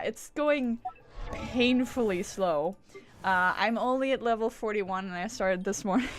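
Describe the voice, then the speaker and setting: A woman chatting casually on a gaming livestream, rambling, interrupting herself and swearing now and then. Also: medium pitch. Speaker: game streamer. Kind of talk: casual livestream gameplay chat.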